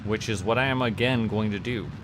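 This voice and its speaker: Low-pitched man streaming a game. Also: a little fast, noisy background. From Canada. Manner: thinking aloud. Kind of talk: casual livestream gameplay commentary.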